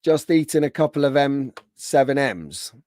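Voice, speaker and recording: A man hosting a casual live stream, greeting viewers by name, his voice slightly hoarse from being under the weather. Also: medium pitch, animated, moderate pace, a little mellow, dry recording, studio quality.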